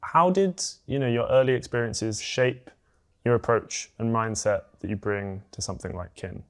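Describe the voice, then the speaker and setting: A man's voice: mid-range voice, normal speed. A man hosting a conversational interview, asking thoughtful questions in casual phrasing with some fillers. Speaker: podcast host, interviewer.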